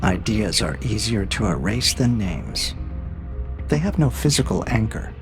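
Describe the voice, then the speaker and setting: Cold machine interrogator for an audio drama, gravelly edge, probing and controlled, music underneath. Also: male voice, on the low side, very animated. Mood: cold, undermining. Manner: measured, probing, coolly menacing. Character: cold, calculating male interrogator AI breaking a prisoner. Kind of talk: scripted audio drama acting.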